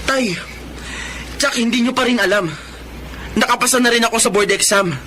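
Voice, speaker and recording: High-pitched, earnest young Filipino son. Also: male voice, normal speed, pushed and bright, slight background noise. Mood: hurt, reproachful. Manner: emphatic, emotional delivery. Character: earnest, hurt young adult son training as policeman. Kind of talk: Filipino radio drama acting.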